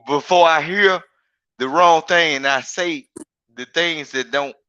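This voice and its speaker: A man's voice: fairly high pitch, unhurried, pushed and bright, smooth, no room sound, muffled recording. Big-voiced man rambling on a stream. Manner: rambling, emphatic, repeats himself. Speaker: guest. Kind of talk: casual live-stream chat.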